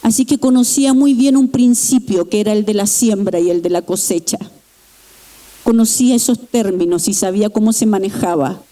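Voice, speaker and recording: A middle-aged woman from Chile, giving an earnest, halting personal testimony in church with pauses and restarts. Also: medium pitch, mellow. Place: church sanctuary.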